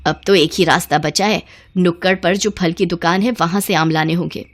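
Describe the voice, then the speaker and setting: A woman's voice: on the low side, fairly even intonation, normal speed, close to an everyday voice. A woman voicing an exasperated middle-aged mother-in-law, grumbling and long-suffering, in a cartoon story. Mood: fretting.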